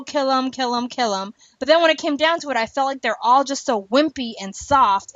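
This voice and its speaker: High-voiced woman chatting casually on a podcast. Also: fairly bright tone, no room sound, highs cut off. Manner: chatty, opinionated, informal. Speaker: podcast host. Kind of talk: casual podcast chat about a TV series.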